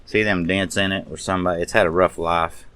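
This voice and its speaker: Male hobbyist presenter, low register, folksy and off-the-cuff, thinking aloud during a casual bench walkthrough. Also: steady tone, fairly quick, a bit bright, clean tone. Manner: unscripted, muttering to self while working. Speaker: radio restoration hobbyist, presenter. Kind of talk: casual hands-on restoration walkthrough.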